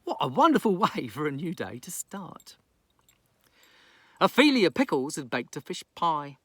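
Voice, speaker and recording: A man's voice: fairly high pitch, big pitch swings, unhurried, no room sound, studio quality. A man from New Zealand telling a rhyming children's story in a theatrical, playful pirate persona. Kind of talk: rhyming children's story read aloud with casual asides.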